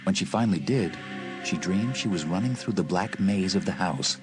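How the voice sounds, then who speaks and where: A male narrator with a low, deep voice reads a suspense story as scripted audiobook narration. Music plays clearly behind him. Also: animated, moderate pace. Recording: music under the voice.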